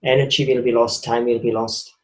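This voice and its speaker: A man's voice: mid-range voice, level tone, brisk, reverberant. A man with a Swiss accent giving a reflective spiritual talk, earnest and repeating phrases for emphasis. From Switzerland. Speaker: spiritual speaker.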